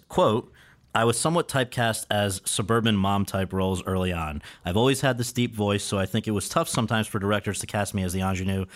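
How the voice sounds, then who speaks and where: A man interviewing a guest, reading back quotes and asking prepared questions in a friendly way. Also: fairly low pitch. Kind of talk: conversational interview.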